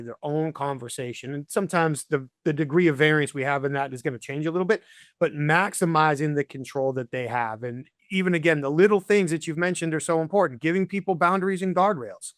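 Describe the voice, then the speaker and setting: A man's voice: fairly high pitch, a little fast, no room sound, clean studio sound. A man in his thirties hosting a podcast interview, affirming and enthusiastic, sometimes stammering as he starts a thought. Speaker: podcast host.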